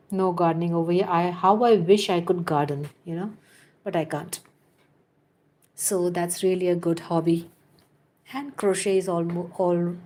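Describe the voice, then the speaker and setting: A woman's voice: on the low side, mellow. A woman hosting a live crochet tutorial, counting stitches aloud and giving patient step-by-step instructions, with chatty asides to viewers. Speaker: crochet teacher and livestream host.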